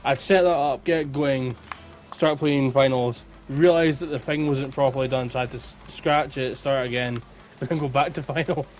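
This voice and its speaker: A man co-hosting a casual gaming podcast, talking in a halting way and often repeating his words. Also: mid-range voice, fairly even intonation, a little mellow, faint music behind. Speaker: podcast co-host. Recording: faint background noise.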